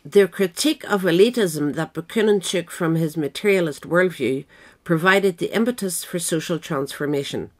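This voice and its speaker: A woman narrating a scripted political history essay aloud in a measured, formal manner. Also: low voice. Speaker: audiobook reader.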